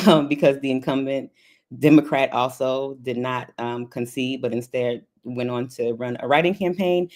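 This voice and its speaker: A woman in her forties from Buffalo, New York, introducing herself as a panel guest in a measured, matter-of-fact way. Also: extremely low pitch, a little mellow. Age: 40s. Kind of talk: casual panel chat.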